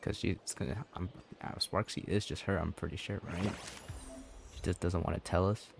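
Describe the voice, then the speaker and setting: A man's voice: low voice, steady tone, moderate pace, vocal fry, noisy background. A man giving casual, offhand, slangy commentary as he plays through a video game. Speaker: gaming video creator.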